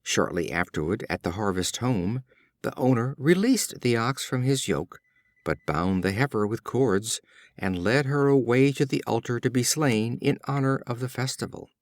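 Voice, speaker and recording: A man narrating short fables as an audiobook reader, in a measured, expressive storytelling style. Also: mid-range voice, dry recording.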